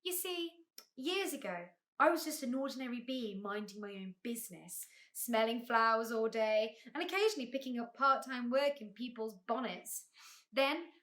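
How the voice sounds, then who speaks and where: A storybook spelling bee, played by a woman reading aloud, male, fussy and proud of his learning. The voice is high and bright, with an excitable, prickly manner. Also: normal speed, lightly acted. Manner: fussy, spells words out letter by letter.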